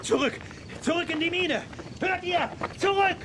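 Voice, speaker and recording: An irritable bandit chief barks scornfully in a very high voice. It is western radio-play acting with clear outdoor noise behind. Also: male voice, unhurried. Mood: alarmed, furious. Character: irritable, scornful male outlaw gang leader. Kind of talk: children's western audio drama acting.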